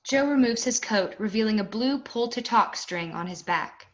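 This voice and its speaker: A woman's voice: a little high, expressive, moderate pace, lo-fi sound. A woman reading stage directions as scripted narration for a play reading.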